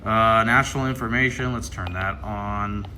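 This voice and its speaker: A man's voice: on the low side, steady tone, moderate pace, sharp tone. An American man giving casual hands-on unboxing commentary, thinking aloud as he mutters through menu items. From Ohio, United States. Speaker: radio hobbyist presenting an unboxing. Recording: clear background noise.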